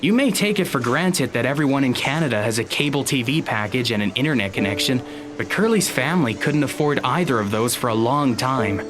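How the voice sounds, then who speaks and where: An actor playing an awkward, polite teenage high school boy in an audio drama, speaking hesitantly with small stammers. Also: animated, a little fast, lightly acted. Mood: explanatory, apologetic. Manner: hesitant, stammering, nervous chuckles.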